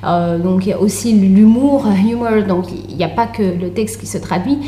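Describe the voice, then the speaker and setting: Mellow-voiced young woman, halting delivery. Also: mid-range voice. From Tunisia. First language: Arabic. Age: young adult. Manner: hesitant, halting delivery with repeated words. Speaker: interview guest, author and translator. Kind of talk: conversational interview answers. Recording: roomy echo, faint background noise.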